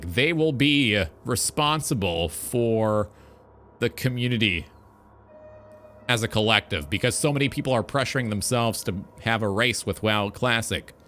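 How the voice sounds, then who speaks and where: A man's voice: medium pitch, fairly bright tone, a little hiss or hum. A man in his twenties hosting a livestream, talking in a casual, emphatic, rambling way with some swearing. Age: 20s. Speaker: streamer and talk show host. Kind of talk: casual livestream rant about video games. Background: background music.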